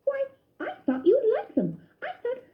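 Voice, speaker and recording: A woman voicing a cheerful, generous young boy mouse with a squeaky voice. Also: wildly swooping pitch, moderate pace, exaggerated voice. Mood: puzzled. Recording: dull lo-fi recording.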